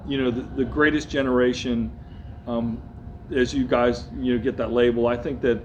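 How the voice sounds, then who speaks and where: A man's voice: medium pitch, flat delivery, moderate pace, a little hiss or hum, rough recording. A man interviewing an old veteran, earnest and repeating words as he gathers his thoughts. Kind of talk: interview conversation.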